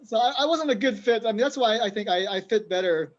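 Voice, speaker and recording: A dull, slightly lo-fi recording of a man from Hawaii with a fairly high voice. He talks casually as an interview guest. He often stops to search for words and repeats himself. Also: fast. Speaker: band musician, interview guest.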